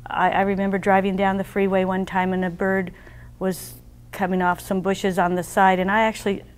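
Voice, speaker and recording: A woman in an interview telling a story in an animated way, laughing now and then. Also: mid-range voice, normal speed, clear, resonant tone. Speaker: program participant. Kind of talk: testimonial interview.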